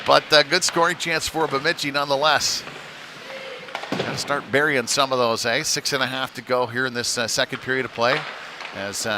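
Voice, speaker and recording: A man doing casual, conversational play-by-play commentary on a live hockey game, with slightly hesitant phrasing. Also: mid-range voice. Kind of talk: live amateur sports commentary. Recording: noisy live arena sound.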